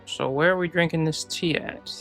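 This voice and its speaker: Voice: mid-range voice, fairly even intonation, moderate pace, fairly bright tone, faint music behind, low-quality recording. A game streamer giving casual let's-play commentary in an offhand way, muttering as if to themselves. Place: indoor gaming setup, game music behind.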